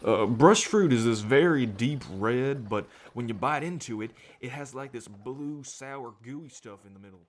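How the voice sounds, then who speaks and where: A man's voice: medium pitch, expressive, unhurried, few pauses. Man acting as a folksy, friendly half-bull man. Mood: gentle, concerned. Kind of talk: ASMR roleplay, acted speech to the listener.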